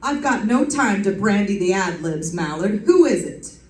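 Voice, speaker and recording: A woman playing a brisk, bossy, unflappable female private detective in an old-time radio drama, with wry hardboiled narration and commanding dialogue. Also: mid-range voice, expressive, darkish tone, lightly acted. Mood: brisk, assured. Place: live stage performance, recorded from a distance. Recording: roomy, distant stage pickup with faint noise.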